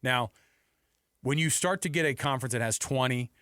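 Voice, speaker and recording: American guy hosting sports radio, chatty and thinking out loud, really dry studio sound. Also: mid-range voice, normal speed, smooth, no room sound. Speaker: sports talk show host. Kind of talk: casual sports debate.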